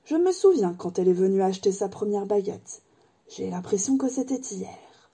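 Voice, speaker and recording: Audiobook: woman reading as a strange old wand maker, measured and hushed, mellow and breathy. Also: mid-range voice, lively intonation. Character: eccentric old male wand maker, intense. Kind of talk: audiobook character voice.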